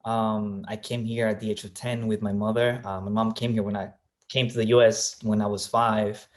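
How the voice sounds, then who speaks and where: A man's voice: fairly low pitch, flat delivery, moderate pace. A young man from Colombia answering interview questions in a thoughtful way, with many fillers and restarts. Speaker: guest, real estate agent.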